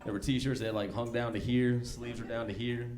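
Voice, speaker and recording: A man's voice: medium pitch, flat delivery, moderate pace, darkish tone. A forty-year-old American man doing conversational, slangy, profane stand-up comedy in a laid-back way, live in a club. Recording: live club recording with background noise.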